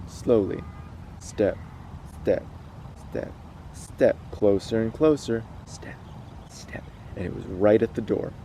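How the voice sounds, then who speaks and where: A man's voice: lowish voice, warm tone, a little hiss or hum. A man hosting a casual read-aloud, moving between storytelling and chat. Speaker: host reading stories aloud.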